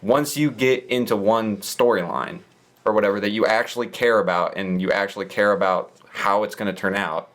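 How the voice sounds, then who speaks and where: A man's voice: fairly low pitch, normal speed, fairly bright tone. A man talking casually as a podcast guest, easygoing and often with a light laugh in his words.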